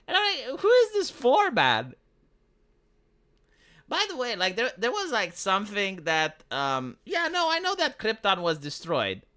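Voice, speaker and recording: A man from Romania rambles through a casual livestream, swearing freely and often restarting his sentences. His voice is bright, and its pitch swings widely as he talks. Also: wildly swooping pitch, a little slow. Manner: rambling, profane, frequent restarts. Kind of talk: casual streaming chat.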